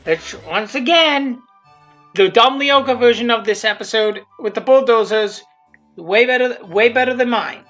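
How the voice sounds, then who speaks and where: A woman giving casual, unscripted video commentary, rambling and haltingly restarting her sentences as she goes. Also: on the high side, fairly bright tone. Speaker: creator of a fan abridged series giving commentary. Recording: dull lo-fi sound with music underneath. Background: music from the video being commented on.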